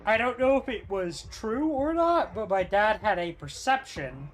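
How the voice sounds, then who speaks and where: A man's voice: high voice, lively intonation, a little slow. A young man chatting casually and swearing freely, then reading a game sourcebook aloud with small stumbles. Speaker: podcast co-host.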